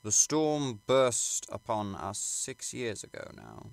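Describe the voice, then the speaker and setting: A man reading literary fiction aloud as an audiobook narrator, in a measured, formal storytelling manner. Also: mid-range voice, no room sound. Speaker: audiobook reader.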